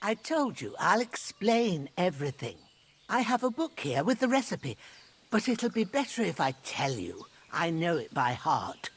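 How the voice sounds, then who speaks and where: Folksy older village herbalist, animated. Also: female voice, fairly low pitch, unhurried, husky, full character voice. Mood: reassuring. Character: kindly older village herbalist mother, brisk and practical.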